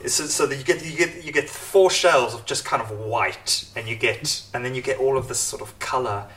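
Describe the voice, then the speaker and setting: A man hosts a relaxed conversational podcast from a home kitchen. His speech hesitates, loops back and restarts, with plenty of ums and uhs. A faint background noise sits under the voice. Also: mid-range voice, moderate pace. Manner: hesitant, many fillers and restarts. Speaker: podcast host. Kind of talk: casual conversational interview.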